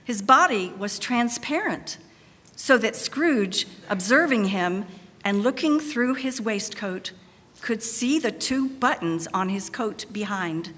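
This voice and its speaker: A woman narrates a story in a fairly high, bright voice at an unhurried pace. Her dramatic, expressive reading carries long hall reverb. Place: large church, live performance.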